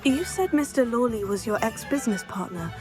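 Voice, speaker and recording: An actress playing a composed teenage witch student in a video game, speaking in a polite, measured way. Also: fairly high pitch, animated, music in the background, close to an everyday voice. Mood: curious, measured. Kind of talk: video game character acting.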